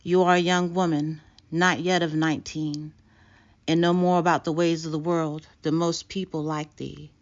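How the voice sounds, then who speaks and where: Woman reading as a calm, foreboding blind fortune-teller, lo-fi. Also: sits low, normal speed. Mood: solemn foretelling. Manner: calm, solemn, oracular. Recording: dull lo-fi recording.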